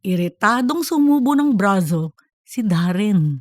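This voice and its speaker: Woman narrator, animated and dry-recorded. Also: mid-range voice, a little slow, dry recording. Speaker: audiobook narrator. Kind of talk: scripted comic story narration.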